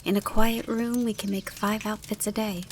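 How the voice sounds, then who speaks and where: A woman plays a stately refugee seamstress of about fifty in an audio drama. She is composed and matter-of-fact, every phrase measured, with a faint bed of noise behind her. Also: medium pitch, level tone, close to an everyday voice. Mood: calm, assured. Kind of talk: amateur audio drama acting.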